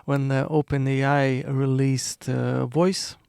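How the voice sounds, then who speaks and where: A man's voice: mid-range voice, flat delivery, slow pace, creaky, darkish tone, clean tone, no room sound, clean studio sound. A man with co-hosting a podcast, talking in a hesitant way with many fillers. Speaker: podcast co-host. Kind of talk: casual podcast chat.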